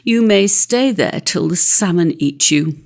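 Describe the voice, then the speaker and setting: A woman voicing a wicked, haughty mother otter from a storybook, sharp, emphatic and scornful. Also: on the low side, animated, a little slow, vocal fry, exaggerated voice. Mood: insistent, scornful. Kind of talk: storybook character voice.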